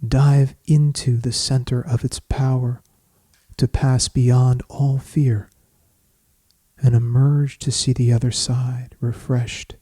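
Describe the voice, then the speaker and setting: Male meditation guide: very slow, even delivery, soothing and solemn, with long pauses. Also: medium pitch, slightly rough, no room sound. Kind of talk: guided meditation, scripted poetic narration.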